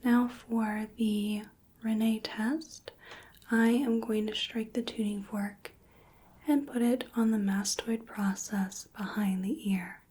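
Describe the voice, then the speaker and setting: A woman in her twenties speaking in a gentle, breathy whisper, carefully giving instructions in a soft-spoken ASMR medical roleplay. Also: a little high, steady tone, slow. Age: 20s. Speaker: ASMR performer playing a hearing examiner. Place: small indoor room. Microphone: close microphone, just off camera.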